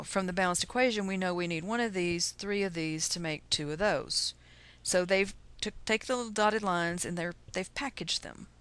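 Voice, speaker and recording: Woman, Texan accent, slightly low voice; chatty, folksy teacher explaining things in an informal recorded lesson. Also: bright, forceful tone. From Texas, USA. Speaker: chemistry teacher.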